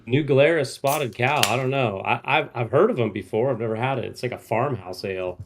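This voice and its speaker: A man's voice: mid-range voice, a little fast, background noise. A man in his late forties, a homebrewer co-hosting a casual beer livestream, laid-back and saying "dude" a lot. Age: 40s. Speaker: homebrewer co-host.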